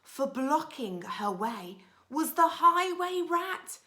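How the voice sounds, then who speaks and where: A woman's voice: sits high, very animated, slow pace, pushed and bright. A woman teacher reading a storybook expressively to young children, adding simple little asides. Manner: simple, child-directed phrasing.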